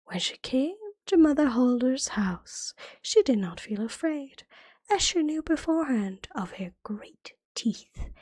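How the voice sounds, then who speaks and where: A woman reading a fairytale as ASMR in a soft, breathy whisper, gently and carefully, like a bedtime storybook. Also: on the high side, no echo.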